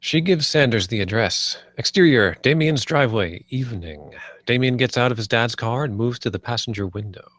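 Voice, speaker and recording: A man's voice: mid-range voice, animated, normal speed, fairly bright tone, dry recording. Man narrating a script, dry close sound. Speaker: narrator reading stage directions. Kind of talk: scripted narration at a screenplay table read.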